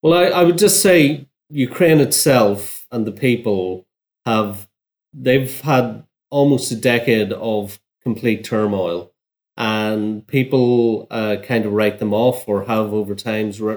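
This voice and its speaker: A man working as a journalist, giving measured, analytical commentary in an unscripted interview. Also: fairly low pitch, darkish tone. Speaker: journalist, newspaper bureau chief.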